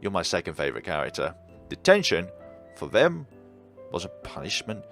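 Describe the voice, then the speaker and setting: A man's voice: sits low, wildly swooping pitch, moderate pace, fairly bright tone, soft background music. A man casually commenting on a visual novel as he plays, chatty and often laughing. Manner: chatty, laughing, reacting to the story. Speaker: let's play host.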